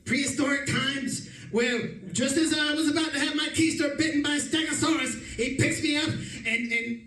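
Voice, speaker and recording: A man's voice: very high-pitched, steady tone, normal speed, sharp tone. A man playing an exasperated, boastful old-time radio detective who rants theatrically about his misadventures in a comedic drama. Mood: boastful, weary. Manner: theatrical, ranting, emphatic. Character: exasperated, boastful male 1940s-style detective, dramatically rattled time traveler. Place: live stage performance in an echoey room. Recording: echoey room, distant mic, faint noise.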